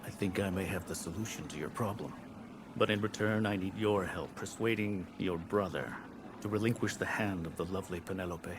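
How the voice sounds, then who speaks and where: Actor as deep-voiced, shrewd warrior king. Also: fairly quick, natural voice. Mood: calm, scheming. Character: shrewd, composed Greek warrior king and schemer. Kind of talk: dramatic game cutscene acting. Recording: noisy ambience behind the voice.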